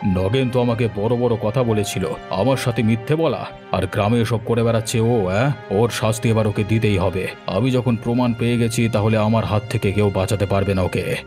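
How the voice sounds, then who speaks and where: A man's voice: lowish voice, fairly even intonation, fairly quick, over music, lightly acted. Stern village judge, acted by a man. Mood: indignant, stern. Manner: stern, admonishing. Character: stern, commanding village judge, an authoritative gentleman. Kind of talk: cartoon voice acting in Bengali.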